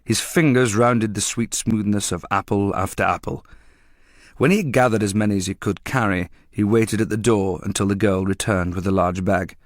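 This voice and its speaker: A low-voiced man reads a story aloud, steady and measured. The recording is dry and close. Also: dry recording. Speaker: narrator. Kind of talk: scripted story reading.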